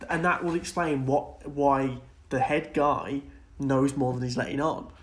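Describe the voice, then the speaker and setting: A man's voice: mid-range voice, steady tone, unhurried. A man co-hosting a casual film and TV discussion podcast, speaking in an agreeable, informal way. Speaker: podcast co-host.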